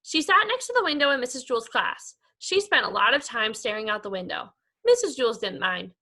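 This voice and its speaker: A woman teacher reading aloud to young pupils in an expressive, animated storytelling style. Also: a little high. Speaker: elementary school teacher. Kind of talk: animated children's read-aloud.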